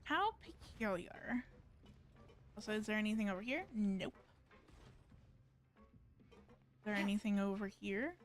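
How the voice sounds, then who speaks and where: A woman's voice: medium pitch, lively intonation, unhurried, pauses often, faint music behind. A woman giving casual live gameplay commentary, musing and thinking aloud in a hesitant way. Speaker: game streamer.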